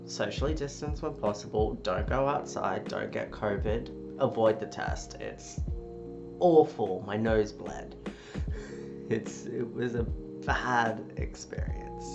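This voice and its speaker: Gravelly, sniffly vlogger over guitar music. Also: medium pitch, takes it slowly. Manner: energetic, swearing, with frequent cheers and laughs. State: a little sick, sniffly. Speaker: YouTuber. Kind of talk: casual opinion vlog. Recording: dull lo-fi audio with guitar music behind.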